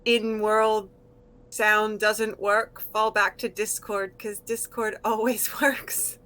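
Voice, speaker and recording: An American woman whose accent has shifted toward British after decades in the UK, chatting relaxed as a guest artist over a voice call. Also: medium pitch, takes it slowly. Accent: American softened by decades in Britain. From United States. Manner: relaxed, laughs easily, trails off. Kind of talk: casual interview chat.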